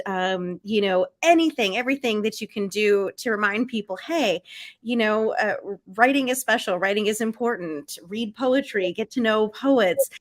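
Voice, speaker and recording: Effusive woman hosting a live interview. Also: medium pitch. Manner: effusive, enthusiastic. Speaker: host.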